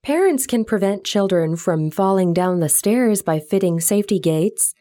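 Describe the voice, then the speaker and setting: A woman reading a scripted interview answer in a measured, explanatory way. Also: mid-range voice, lively intonation, dark tone, dry recording, studio quality. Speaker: interview guest in a listening test.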